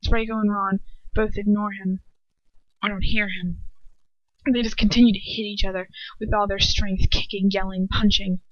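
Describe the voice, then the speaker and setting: An amateur woman narrator reads a story aloud at a middle pitch, sometimes stumbling and repeating herself. The recording is dry, with a slightly dull, lo-fi sound. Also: little pitch movement, normal speed, dry recording. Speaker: amateur fan fiction reader. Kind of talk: narrating a story in first person.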